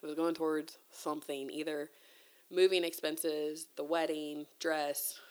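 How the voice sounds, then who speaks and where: A woman's voice: low voice, flat delivery, a little slow, darkish tone. A woman guest talking casually and candidly, telling a personal story in conversation. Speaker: interview guest.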